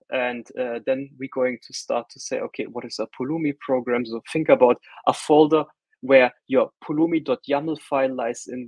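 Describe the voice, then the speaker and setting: A man's voice: mid-range voice, husky, no echo, low-quality recording. German-accented man giving a webinar. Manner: conversational, frequent fillers and restarts. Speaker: webinar presenter. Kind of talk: technical webinar presentation.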